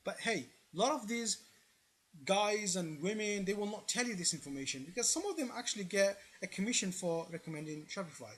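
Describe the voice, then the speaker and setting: An online presenter talks through a comparison in an informal, explanatory way. The delivery is opinionated and emphatic, with frequent check-ins to the listener. The voice sits at a middling pitch. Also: expressive, brisk.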